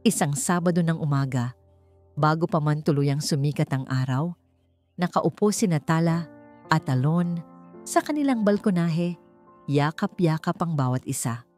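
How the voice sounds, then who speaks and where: A woman's voice: deep voice, moderate pace, pauses often, darkish tone. A woman with a Filipino accent reading a scripted, dramatic romance story as narrator. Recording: music bed under the voice. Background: background music.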